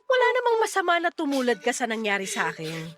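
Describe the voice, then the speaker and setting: A woman's voice: a little high, fast talker, music in the background. A Filipino woman acting as a playful, fiercely protective single mother around forty in a melodramatic radio drama. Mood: tender, reassuring. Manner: animated, teasing, exclamatory.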